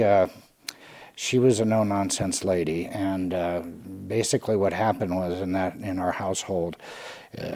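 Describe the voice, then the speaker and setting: A man in his late forties from California, a Salvation Army lieutenant telling his own story candidly, halting and restarting often. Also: sits low, a little mellow. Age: late 40s. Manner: halting, with frequent restarts and fillers.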